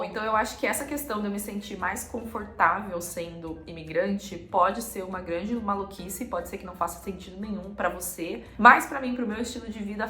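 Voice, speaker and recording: A woman's voice: mid-range voice, fairly bright tone, roomy sound. A Brazilian woman with a Brazilian Portuguese accent, chatting casually to camera as a vlogger and dropping frequent filler tags.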